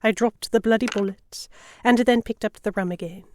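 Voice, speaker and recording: A woman narrating in first person as a composed captive in a historical pirate audio drama, with measured, dramatic storytelling. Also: fairly high pitch, slight background noise, lightly acted. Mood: measured, tense. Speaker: narrator and lead actor.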